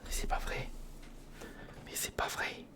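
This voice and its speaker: An ASMR creator whispering informal, step-by-step commentary to the viewer while building something by hand, close to the microphone. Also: takes it slowly. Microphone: close recorder or studio microphone.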